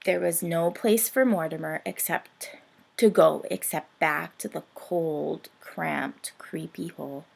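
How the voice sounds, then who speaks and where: A woman reading a picture book aloud for young children in an expressive, dramatic storytelling style. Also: deep voice, slow pace, gravelly.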